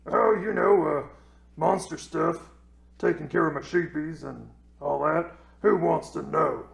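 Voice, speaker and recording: A man voicing a dim, boastful, tipsy Cyclops monster in a slow, self-satisfied way, for a comic story skit. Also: fairly high pitch, vocal fry, pitched above the performer's own voice. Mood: smug, casual. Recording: roomy echo.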